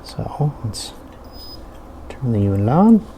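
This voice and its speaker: A man giving a casual hands-on product review, explaining things step by step in an unhurried way. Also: medium pitch, sing-song, noisy background.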